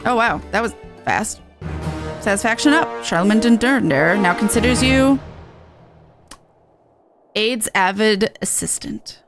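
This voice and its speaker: A woman's voice: medium pitch, expressive, a little slow, long pauses, faint music behind. A woman streaming a game, giving casual, offhand commentary and reading game text aloud. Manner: informal, offhand.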